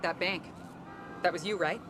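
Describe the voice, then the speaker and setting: A man's voice: high voice, steady tone, moderate pace, lightly acted. Actor as guarded male contact, noisy surroundings. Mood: wary, tense. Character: wary, guarded contact trading secret access codes. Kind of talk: video game cutscene acting.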